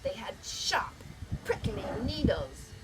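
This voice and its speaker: A woman storyteller reading a picture book aloud, with deliberate, dramatic phrasing for young children. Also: medium pitch, very animated, very noisy.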